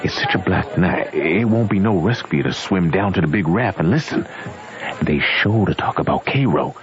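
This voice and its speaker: An actor playing a shrewd runaway river traveler, speaking in a folksy, colloquial way in a radio drama. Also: male voice, low-pitched, lively intonation, moderate pace. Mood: watchful scheming. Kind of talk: old-time radio drama acting. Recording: muffled old radio, loud music and crowd.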